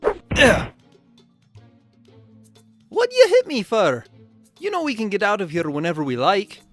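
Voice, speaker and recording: A wry, grumbling mechanical inventor hero played by a man, delivered with hugely varied intonation. His voice sits fairly high, with prominent music behind the animated fan dub. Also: measured pace, dry recording, exaggerated voice. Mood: grumpy.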